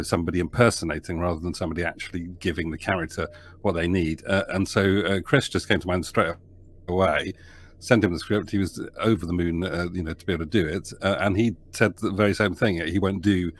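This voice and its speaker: Male producer, deep low voice, casual interview guest, rambling, filler-heavy, light background noise. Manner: rambling with frequent fillers and restarts. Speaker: audio drama producer.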